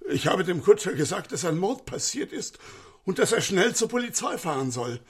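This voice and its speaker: An older man playing a distraught, deferential elderly manservant in a radio crime comedy, speaking in an agitated, halting way. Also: sits high, sing-song, breathy edge, exaggerated voice. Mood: anxious, downcast.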